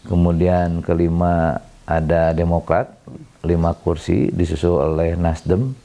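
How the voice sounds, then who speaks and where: A middle-aged man from Bandung, a city council member, explaining his work in a relaxed interview, with many fillers and self-corrections. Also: low voice, measured pace. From Bandung, Indonesia. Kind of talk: conversational interview.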